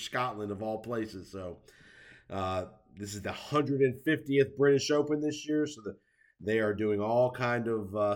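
A man with a stuffy head cold giving casual, conversational golf commentary and predictions, with lots of fillers. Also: mid-range voice. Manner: conversational, many fillers, drawn-out emphasis.

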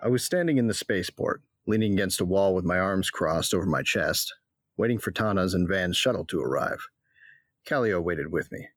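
A man's voice: sits low, expressive, normal speed, no echo, faint music behind. A man narrating a drama in the first person, cocky and laid-back.

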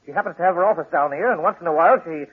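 An actor playing an affronted middle-aged suspect, protesting in a proper, matter-of-fact way in a 1940s radio drama. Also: male voice, on the high side, rapid-fire, breathy edge. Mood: defensive explaining. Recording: muffled, scratchy 1940s radio recording.

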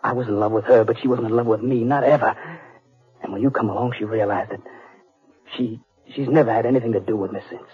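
An American actor playing an earnest, guilt-ridden cowboy brother in a 1950s radio western, husky and halting. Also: male voice, medium pitch, fairly even intonation. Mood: earnest, pleading. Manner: halting, pleading. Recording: tinny, narrow 1950s radio recording, music.

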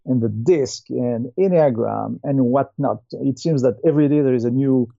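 Mellow Frenchman, halting interview answers. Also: mid-range voice, creaky, dry recording, muffled recording. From France. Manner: hesitant, halting delivery with many pauses. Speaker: guest, company founder and CEO.

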